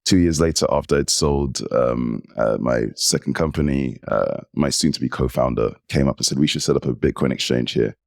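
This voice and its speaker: English man, forties, podcast guest; animated storyteller, very low voice, dry sound. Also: moderate pace, creaky, few pauses, dry recording. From United Kingdom. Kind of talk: casual interview chat.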